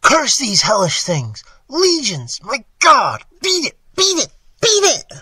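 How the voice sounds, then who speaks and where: Terrified trapped man, high strained pitch, husky edge, frantic pleading, boyish slang, horror audiobook. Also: wildly swooping pitch, takes it slowly, sharp tone, no echo, clean studio sound, full character voice. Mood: shrieking horror.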